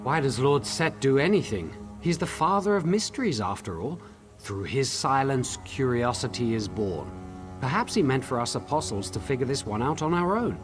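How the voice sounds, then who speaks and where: An actor playing an eager, articulate tinkerer-scholar in a fantasy video game, speaking theatrically. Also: male voice, mid-range voice, sing-song, moderate pace, over music, lightly acted. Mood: musing. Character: eager male tinkerer-scholar, enthusiastic about his research.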